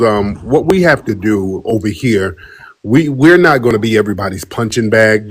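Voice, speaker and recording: A man's voice: fairly low pitch, slightly rough. A man hosting a call-in broadcast, talking bluntly and emphatically in a streetwise way. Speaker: broadcast host taking calls. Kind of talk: heated call-in talk.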